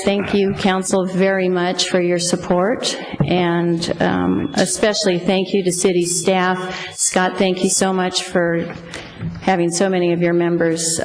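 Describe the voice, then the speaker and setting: A woman's voice: lowish voice, darkish tone. A middle-aged woman giving public comment at a council meeting, earnest, plainspoken and effusively grateful, at a podium microphone. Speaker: public speaker for local car dealers. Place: council chamber at a speaker podium. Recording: echoey hall with faint hiss.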